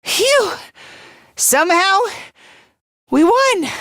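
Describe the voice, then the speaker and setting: A self-loathing young student fighter from a video game, with a very high, timid voice. He speaks slowly and hesitantly, stumbling over first words and belittling himself, and his pitch swings widely. The sound is close and dry. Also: big pitch swings, pushed and bright, no room sound, exaggerated voice. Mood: excited, straining. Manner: hesitant, stammering, self-deprecating. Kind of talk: English video game voice acting.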